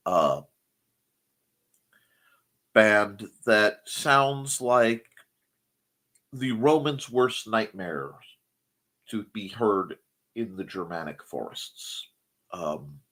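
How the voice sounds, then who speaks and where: Middle-aged male co-host, husky and slightly worn voice, slow unhurried delivery with lively swings in pitch, casual rambling chat. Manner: rambling, searching for words, wry asides. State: recovering from losing his voice.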